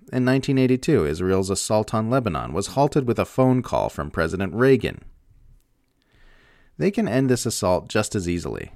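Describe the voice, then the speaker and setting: Very deep-voiced man, sardonic political reading. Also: a little mellow, dry recording, clean studio sound. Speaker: narrator reading political commentary. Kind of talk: scripted opinion essay read aloud.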